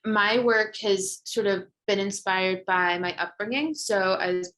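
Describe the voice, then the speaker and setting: A woman's voice: mid-range voice, unhurried, a bit bright, poor audio. A woman in her thirties, from the UAE, introducing herself on a virtual panel in a conversational way with frequent fillers, over a video call. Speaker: panel guest.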